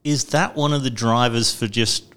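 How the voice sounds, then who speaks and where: Relaxed Australian man hosting a podcast. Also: medium pitch, moderate pace. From South Australia. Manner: relaxed and joking, with hesitant ums and restarts. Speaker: podcast host. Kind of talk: casual interview chat.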